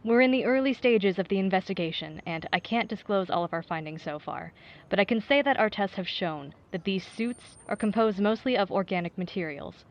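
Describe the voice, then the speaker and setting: An actress playing a serious, composed police detective giving measured, official answers in an audio drama news interview. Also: female voice, mid-range voice, expressive, dull audio, lightly acted. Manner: measured, official, matter-of-fact.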